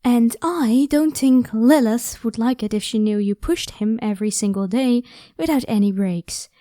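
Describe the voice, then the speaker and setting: A woman's voice: fairly high pitch, normal speed, warm tone, dry recording, studio quality, close to an everyday voice. A woman playing a caring, protective young vampire in an ASMR audio roleplay, talking casually and reassuringly. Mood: firm, protective.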